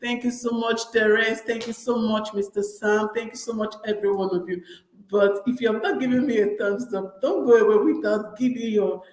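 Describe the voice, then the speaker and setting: A woman's voice: on the high side, normal speed, a little mellow. Chatty Nigerian woman in an echoey room. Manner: chatty, repeats and restarts often, addresses viewers directly. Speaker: vlogger. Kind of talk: casual advice vlog.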